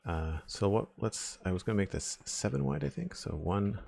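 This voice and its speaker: A young man with a deep voice chatting casually on a live stream, thinking aloud as he builds, into a desk mic at home. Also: animated, moderate pace, vocal fry, noisy background. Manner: rambling, thinking aloud, counts blocks under his breath. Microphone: desk microphone with headphones.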